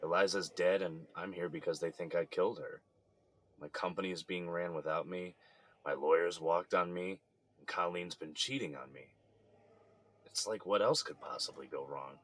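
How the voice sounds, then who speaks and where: Embattled middle-aged son, very low pitch, downcast, frustrated, doubting, audio drama. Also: male voice, moderate pace, fairly bright tone.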